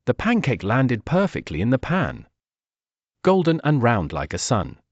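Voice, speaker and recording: Slow, highly expressive male storyteller. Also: dry recording, muffled recording. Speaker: children's story narrator. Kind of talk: scripted read-aloud storytelling for kids.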